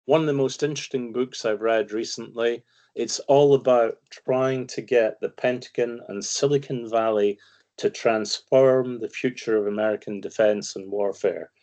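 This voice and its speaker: A man's voice: mid-range voice, fairly even intonation, normal speed, darkish tone. A man with a Scottish accent, a guest analyst giving emphatic, opinionated commentary in long explanations. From Scotland. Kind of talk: conversational interview commentary.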